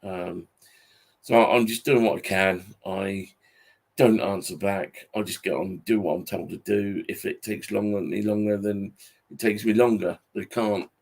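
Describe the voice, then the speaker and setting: A British man in his forties talking casually and off the cuff in a halting, repetitive, grumbling way, sounding tired. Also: on the low side, steady tone, vocal fry, darkish tone. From Britain. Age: 40s. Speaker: YouTube channel host. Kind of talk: casual unscripted monologue.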